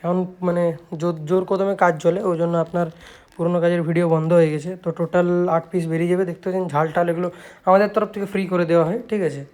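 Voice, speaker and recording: A man's voice: high-pitched, flat delivery, rapid-fire, darkish tone, clean tone. A man with a West Bengali accent giving an informal product showcase, repeating his sales points and often adding little check-in tags. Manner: repetitive sales talk with frequent check-in tags. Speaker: shop owner presenting own speakers.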